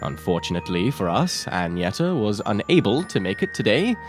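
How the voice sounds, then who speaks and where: Deep, dry, wry male storyteller an actor. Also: very animated, brisk. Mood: dramatic, wry. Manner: dry, sardonic. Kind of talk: scripted audio drama narration. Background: music.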